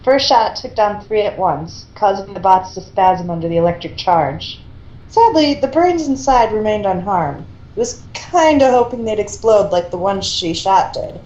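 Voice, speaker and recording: A woman's voice: mid-range voice, lively intonation, moderate pace, darkish tone, lo-fi sound. A woman reading a story aloud in an amateur style, with casual asides. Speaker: co-reader of fan fiction. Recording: echoey room with faint noise.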